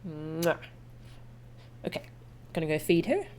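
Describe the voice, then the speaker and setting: A woman's voice: low voice, big pitch swings, slow, a little mellow, slight background noise. A woman chatting casually to viewers as she streams a game, in an easygoing, chatty manner.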